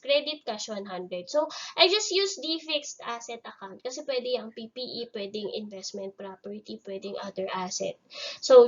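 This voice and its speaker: A woman with a Filipino accent giving a recorded lecture, explaining worked problems step by step in an informal, instructional way. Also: fairly high pitch. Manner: informal, instructional, mixing technical terms into conversational explanation. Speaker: accounting lecturer. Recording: dull, lo-fi voice recording.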